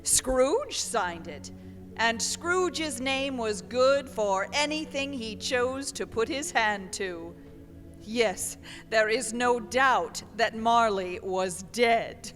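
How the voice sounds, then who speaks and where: Slow, deliberate woman storyteller. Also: fairly high pitch, animated, a bit bright, soft background music. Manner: deliberate, theatrical phrasing. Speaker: radio play narrator. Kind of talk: dramatic storybook narration for radio. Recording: live hall with long reverb.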